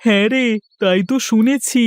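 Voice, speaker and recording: Woman doing a gossipy village kid for a cartoon, high voice, really dry close sound. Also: normal speed, no room sound, natural voice. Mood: gossipy, teasing. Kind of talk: cartoon story dialogue.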